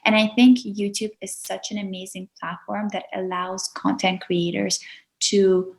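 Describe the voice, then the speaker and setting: A woman's voice: mid-range voice, flat delivery, unhurried, creaky. A woman from Azerbaijan with an Azerbaijani accent, speaking earnestly as a guest on a podcast interview over a video call. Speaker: guest YouTuber.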